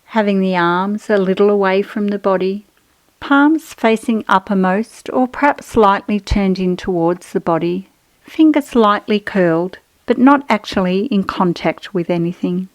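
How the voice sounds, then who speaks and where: Woman, yoga nidra guide, soothing, measured, instructional, pausing between phrases, mid-pitched, dry close recording. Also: no echo, poor audio. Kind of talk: guided relaxation meditation.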